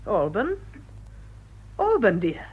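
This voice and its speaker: Fussing english wife, frank and concerned, voice goes up and down a lot, muffled old radio drama. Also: female voice, mid-range voice, huge pitch swings, takes it slowly, breathy edge, full character voice. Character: concerned, forthright wife of an ageing canon. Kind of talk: British radio drama acting. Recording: muffled old radio broadcast, music behind.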